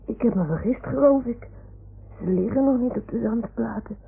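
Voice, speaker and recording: A woman's voice: fairly high pitch, normal speed, creaky, husky, exaggerated voice. A woman playing an imaginative, earnest young boy who repeats grown-ups' words to his toy animals in a radio play. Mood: earnest, pondering. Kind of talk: 1960s Dutch radio play acting. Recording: old radio recording, phone-like band, noise.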